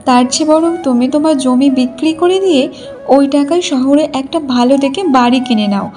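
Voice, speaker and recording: A woman's voice: high-pitched, a little fast, mellow, soft background music, natural voice. A woman voicing a young, earnest village wife in a cartoon story. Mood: pleading, insistent. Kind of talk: Bengali cartoon story voice acting.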